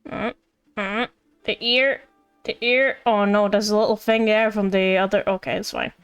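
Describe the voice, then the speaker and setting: A woman's voice: mid-range voice, steady tone, moderate pace, clean tone, dull audio. A woman streaming a video game with casual, rambling commentary, playfully repeating her phrases. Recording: game music behind. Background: game music.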